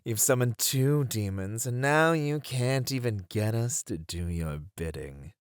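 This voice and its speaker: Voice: mid-range voice, animated, clean tone, no room sound, natural voice. Flirty demon, acted, languid swooping delivery, teasing, ASMR roleplay, close dry sound. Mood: mocking, amused. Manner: drawn-out, teasing, purring delivery.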